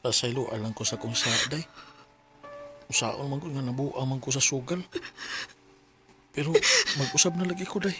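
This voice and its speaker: A man's voice: mid-range voice, normal speed, pauses often, bright, forceful tone, soft background music, lightly acted. An actor playing a remorseful young gambler pleading with his former partner, with a Cebuano accent, in a radio drama. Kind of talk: Filipino radio drama acting.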